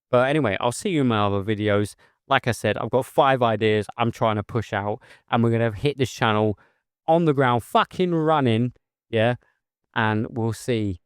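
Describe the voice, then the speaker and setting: A man talks straight to his viewers in a steady, monotone, settling voice, earnest and a little rambling. His voice sits at an everyday middle pitch. The sound is close and dry, with no room echo. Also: smooth. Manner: rambling, earnest, with filler phrases and restarts. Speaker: vlogger, heavy goods mobile mechanic. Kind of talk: casual talk to camera.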